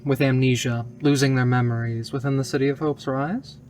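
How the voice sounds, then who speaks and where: Man acting polite eager scientist, medium pitch, formal wording, articulate, clinical, tabletop roleplay. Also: fairly even intonation, fairly quick, darkish tone, soft background music, lightly acted. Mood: courteous, curious.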